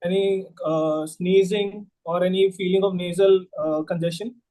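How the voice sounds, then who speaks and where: A man with a high-pitched yet mellow voice takes a patient history in a practice consultation. He is polite and formal, steps hesitantly into each question and keeps addressing the patient as ma'am. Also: fairly even intonation, normal speed, poor audio. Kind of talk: role-played clinical history taking.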